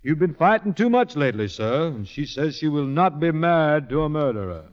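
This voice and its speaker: An actor playing an easygoing, wily stage performer, smooth-talking and casual, in a 1940s radio drama. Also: male voice, a little high, sing-song, brisk. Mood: mock-courtly. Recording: dull 1940s radio recording.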